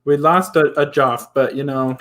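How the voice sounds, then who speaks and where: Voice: mid-range voice, fairly even intonation, moderate pace, a bit bright. A host of a live theater stream, leading a casual chat and prompting the guests in a hesitant way, with many restarts.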